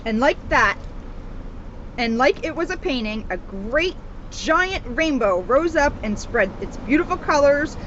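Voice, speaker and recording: A woman's voice: sits high, lively intonation, unhurried, a bit bright, dull audio, slight background noise. A woman police officer speaking to her community in a storybook read-aloud and informal style. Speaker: police officer reading a children's book to the community.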